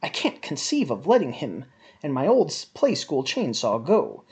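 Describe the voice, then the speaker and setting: A woman giving a theatrical dramatic reading of a written review in an overwrought, melodramatic manner with drawn-out emphasis. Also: low voice, wildly swooping pitch, a little mellow. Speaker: reader of a written film review.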